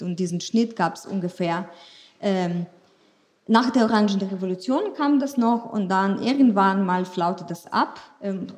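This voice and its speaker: A woman's voice: mid-range voice, a little mellow. A woman from western Ukraine, a native Ukrainian speaker with a Ukrainian accent, speaking from personal experience as a panel guest. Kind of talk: panel discussion, personal recollection.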